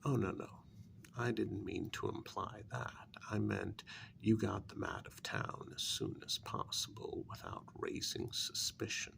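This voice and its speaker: A man playing a sly, smug kidnapper taunting his captive in an ASMR role-play, speaking softly and breathily, often half-whispering, in a halting, wryly sarcastic way. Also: lowish voice, wildly swooping pitch, gravelly, noisy background, heavily acted. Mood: knowing, needling. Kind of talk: ASMR role-play, soft-spoken monologue.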